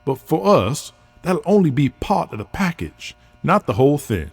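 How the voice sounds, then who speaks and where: A man's voice: a little high, very animated, normal speed, creaky, slightly rough, full character voice. A man playing a brash, persuasive wrestling booker with big plans in an audio drama, talking in a folksy, salty way. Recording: music bed under the voice.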